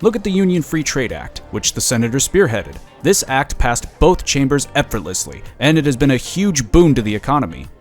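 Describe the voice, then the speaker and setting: A man's voice: medium pitch, very animated, fairly quick, hardly any pauses, faint music behind, lightly acted. An actor playing a middle-aged, glib political operative in an audio drama, with a persuasive, salesman-like delivery. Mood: approving, boastful.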